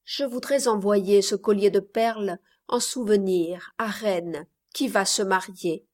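Woman voicing a soft-spoken dying girl. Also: mid-range voice, measured pace, natural voice. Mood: resigned. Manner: soft, quiet, resigned. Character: dying young girl, gentle and resigned.

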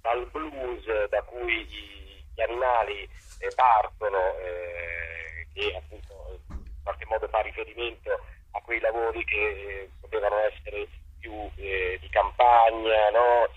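A man's voice: on the low side, fairly even intonation, slow, slightly rough, phone-quality sound. A man speaking as a guest in a casual interview, searching for words and often repeating them. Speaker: theatre artist, interview guest.

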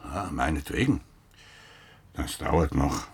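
An actor playing a grumpy, sceptical older police inspector in a radio drama, talking in a curt, grumbling way. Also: male voice, very deep voice, takes it slowly, gravelly, husky, full character voice. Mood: grumpy, reluctant.

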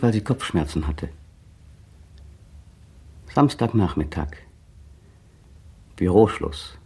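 Husky, brooding man. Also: fairly low pitch, warm tone, heavily acted. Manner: reflective, sometimes rising to fevered intensity. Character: brooding man recalling a still afternoon. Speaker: man in a radio play. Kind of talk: 1960 radio drama, inner monologue.

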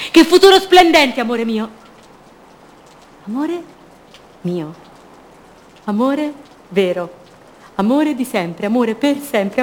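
High-voiced lonely woman in love. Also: darkish tone, a little hiss or hum. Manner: intimate, lyrical, short measured phrases. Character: lonely young woman in love, longing and wounded. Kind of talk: radio theatre monologue.

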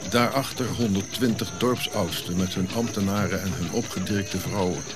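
An actor playing a reflective African prince who recounts his royal youth in measured, eloquent radio drama monologue, pausing as he goes. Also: low voice, loud music behind the voice, close to an everyday voice. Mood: stately, proud. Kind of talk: audio drama narrative monologue.

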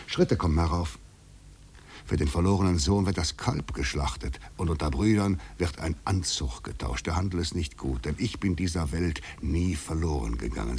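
A man's voice: low voice, slightly rough, exaggerated voice. An actor in a 1950s literary radio drama playing a taunting, eloquent male fugitive in a poetic, ironic manner.

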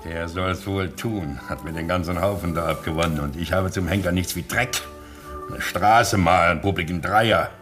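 Gangster boss: gruff, slangy, blustering, low forceful voice, faint music behind. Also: male voice, normal speed. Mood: grumbling. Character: blustering, coarse American gangster boss and poker player. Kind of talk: radio play acting.

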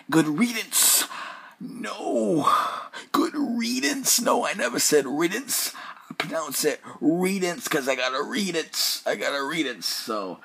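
Husky, rambling sleep-deprived podcast host. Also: male voice, fairly high pitch, sharp tone. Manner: rambling, repeats himself, goes off on tangents, swears. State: sleep-deprived, up almost 24 hours. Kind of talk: rambling solo podcast monologue.

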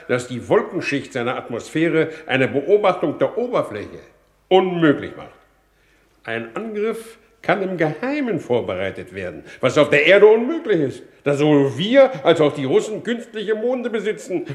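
An actor playing a blunt, sardonic war minister in a 1950s radio drama, with a brisk, declamatory and contemptuous delivery. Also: male voice, on the high side, sing-song. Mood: brisk, confident. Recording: roomy vintage radio studio sound.

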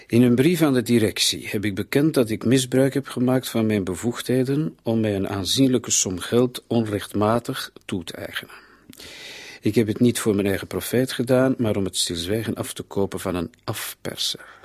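A reflective police commissioner in a radio play, acted by a man. The voice is husky and fairly low, in a very dry studio sound. He talks in a businesslike, matter-of-fact way. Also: moderate pace, a little mellow, no room sound, close to an everyday voice. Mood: reading gravely.

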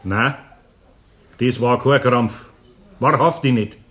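A man's voice: medium pitch, slow, fairly bright tone. An actor playing a good-natured master craftsman with a Bavarian accent, speaking in a homely, earnest way in a radio play. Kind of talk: German radio play acting.

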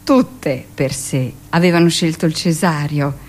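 A woman playing a brusque, gossipy middle-aged hospital midwife who tells her memories in a theatrical, conversational radio monologue. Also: deep voice. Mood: confiding, reflective. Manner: conversational, dramatic pauses, shifts into mimicked speech. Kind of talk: theatrical first-person monologue, confiding storytelling.

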